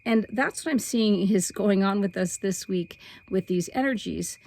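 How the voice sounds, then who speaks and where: A middle-aged woman with a slightly hoarse voice, hosting a weekly spiritual forecast in a soft, meandering way that stretches key words. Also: mid-range voice, a little slow. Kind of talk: casual spiritual talk to camera.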